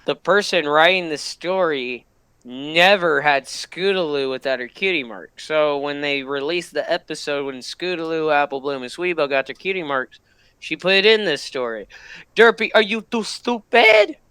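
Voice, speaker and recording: Excitable high-voiced young man, bright and forceful. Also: normal speed, smooth, no echo. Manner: excitable, gushing, repeats himself. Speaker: reaction video host. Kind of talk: casual reaction commentary.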